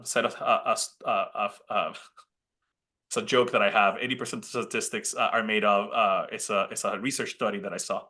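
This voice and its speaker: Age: 30s. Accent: Puerto Rican. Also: male voice, mid-range voice, sharp tone. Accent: Puerto Rican Spanish. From Puerto Rico. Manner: chatty, many restarts and "uh" fillers. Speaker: college dean presenting a webinar. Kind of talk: casual presentation talk. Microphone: computer mic on a video call.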